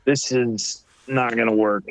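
A man's voice: medium pitch, steady tone, unhurried, creaky, no room sound. A man co-hosting a podcast over a remote call, laid-back and jokey in casual chat. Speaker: podcast co-host. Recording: dull lo-fi call audio.

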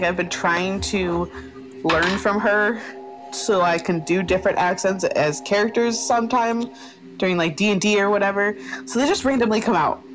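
A woman's voice: mid-range voice, poor audio. A woman chatting casually at a tabletop game, full of curious questions. Music plays behind her voice. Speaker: player.

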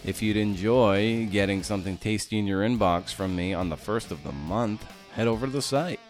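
A man's voice: lowish voice, normal speed, soft background music. A male podcast host, worn out after a night shift, talking in a loose, jokey way with many restarts. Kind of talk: casual podcast interview and outro.

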